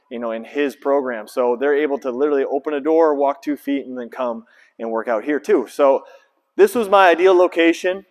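Thirties male trainer, relaxed explainer on a lapel mic, mid pitch, reverberant empty gym. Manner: casual, filler-heavy, explaining and pointing things out. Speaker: basketball trainer. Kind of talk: casual walkthrough vlog. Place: large empty indoor basketball gym. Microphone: clip-on lapel mic.

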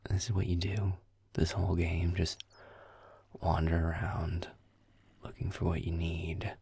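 A young man playing a grumpy, sardonic werewolf boyfriend in an intimate audio roleplay, muttering deadpan in a breathy, gravelly murmur as he games. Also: low-pitched, a little slow, no echo, exaggerated voice.

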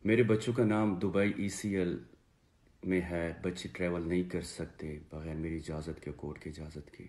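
A man in his thirties with a Pakistani accent, giving an earnest, halting personal statement to camera and repeating phrases for emphasis. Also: deep voice, steady tone, a little mellow. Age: 30s. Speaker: father making a personal video appeal. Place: small indoor room, seated before a plain wall.

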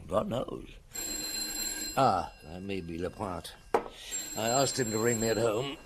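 Radio drama detective: middle-aged, weary and dry, low but widely varied pitch. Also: male voice, lively intonation, background noise. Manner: dry, terse, measured.

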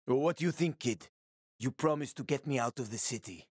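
A man's voice: medium pitch, moderate pace, a little mellow, husky, no room sound, clean studio sound, natural voice. An actor playing a wry, world-weary male survivor in a video game, speaking in a casual, sardonic way. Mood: bitter, resigned. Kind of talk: video game character dialogue.

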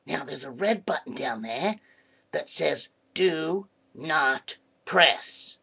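A woman acts out a playful cartoonish duck with a heavily gravelled, husky voice that sits on the low side. The duck is mischievous and curious, made for a children's comedy skit. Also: lively intonation, measured pace, full character voice. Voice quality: creaky, husky. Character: playful, mischievous talking duck who loves pressing buttons.

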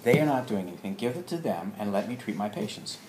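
A man with a fairly low voice tells a personal anecdote, halting between phrases and playing out both sides of remembered dialogue. The recording is roomy and echoey, with some faint noise behind him. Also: sing-song, darkish tone. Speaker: storyteller, alternative health practitioner. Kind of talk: personal anecdote told to listeners. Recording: roomy echo with background noise.

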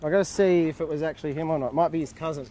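A man's voice: on the high side, steady tone, rapid-fire, mellow, a little hiss or hum. A young man casually reading out fan questions to camera outdoors by a harbour, joking as he goes. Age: young adult. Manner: cheerful, joking, reads questions aloud. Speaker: sailor hosting a fan Q&A. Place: outdoors at a boat tent by a harbour. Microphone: handheld camera close up.

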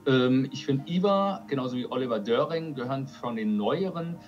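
A man in his forties talks to camera with wordy, emphatic enthusiasm. His voice is mid-pitched and the recording is slightly muffled, with light music underneath. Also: animated, moderate pace. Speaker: audio drama producer presenting a release.

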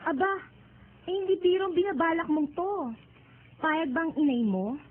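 A woman's voice: extremely high pitch, animated, normal speed. An actress playing a concerned young Filipino woman in a radio drama, incredulous and questioning a friend. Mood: incredulous, worried. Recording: tinny phone-like narrow band.

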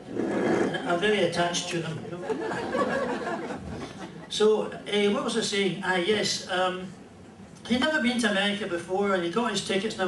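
An older man with a Scottish accent telling jokes and a rambling story at a stand mic on a small club stage, in a dry, unhurried way. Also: sits high, background noise. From Scotland. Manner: dry, rambling joke delivery with pauses. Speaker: comedian and musician. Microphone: stand mic, filmed from a distant camera. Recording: roomy club sound from far off.

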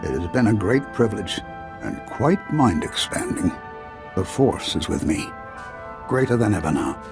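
A proud, transcendent Jedi master in a video game. His low voice moves widely in pitch as he speaks with formal, measured calm. Music plays clearly behind him. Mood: serene, resolute.

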